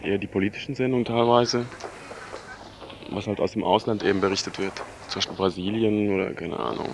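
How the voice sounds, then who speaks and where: A man giving halting, casual answers in a street interview, searching for examples as he talks. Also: on the low side, steady tone. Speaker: passer-by being interviewed. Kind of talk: casual spontaneous street chat. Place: outdoors on a busy street. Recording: clear street noise behind.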